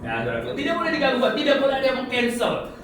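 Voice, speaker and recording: A man's voice: very high-pitched, huge pitch swings, racing, fairly bright tone, slight background noise. A man from Central Java preaching a personal, conversational sermon, often putting rhetorical questions to his listeners. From Central Java, Indonesia. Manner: conversational, asks the congregation rhetorical questions and tag questions. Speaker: evangelist, guest preacher. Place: large echoey room before a congregation. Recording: echoey hall, distant mic, audience present.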